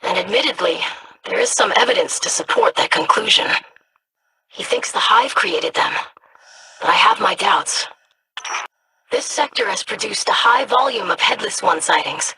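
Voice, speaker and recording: An actress voicing a calm, scholarly woman who studies dark lore in a video game, speaking in measured, formal sentences. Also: mid-range voice, slightly rough, exaggerated voice, poor audio. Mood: grave.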